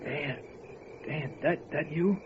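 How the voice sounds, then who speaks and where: A man's voice: fairly high pitch, slow pace. An actor playing an alert, urgent lawman in an old-time radio horror drama, with a dramatic, clipped delivery. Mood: shaken. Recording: noisy, dull lo-fi radio recording.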